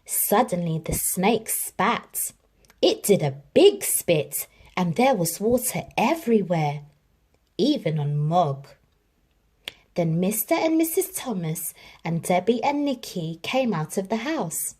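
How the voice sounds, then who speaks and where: Slow, expressive woman reading a storybook. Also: medium pitch, husky. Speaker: storybook reader. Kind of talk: children's story read-aloud.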